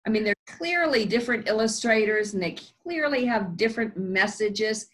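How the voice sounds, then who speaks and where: A woman host asking an author friendly questions in a casual, encouraging way. Her questions wander a bit before they land, and her voice sits at an everyday middle pitch. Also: expressive, moderate pace, a bit bright. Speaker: interviewer, host. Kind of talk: casual interview.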